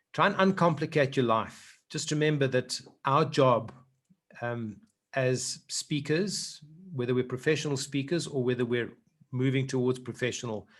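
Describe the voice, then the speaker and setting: A man's voice: medium pitch, a bit bright. A middle-aged man with a South African accent giving earnest public speaking advice on a video call, often repeating words as he starts a point. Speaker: speaking coach. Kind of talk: online workshop talk.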